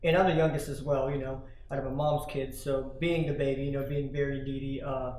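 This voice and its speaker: A man in his thirties giving earnest, relaxed interview answers, often asking "you know what I mean". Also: mid-range voice, fairly quick. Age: 30s. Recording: echoey room with faint noise.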